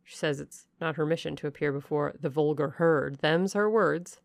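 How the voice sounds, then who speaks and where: A woman's voice: sits low, moderate pace, vocal fry, dry recording, clean studio sound. A woman voicing a blunt, put-upon male footman who reports messages plainly.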